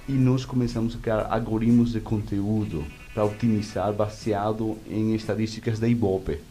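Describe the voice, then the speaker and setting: A man with a Venezuelan accent and native Spanish, answering interview questions conversationally in halting speech, searching for words. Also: lowish voice, mellow. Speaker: interview guest, media executive.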